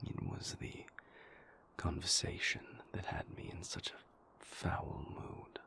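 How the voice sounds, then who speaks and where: A man playing a brooding, weary vampire in an intimate audio roleplay, with a breathy, creaky voice and halting, deliberate, formally worded confessions. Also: bright, forceful tone, whispered. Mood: somber, measured. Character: brooding, weary male vampire, a king's conflicted enforcer and lover.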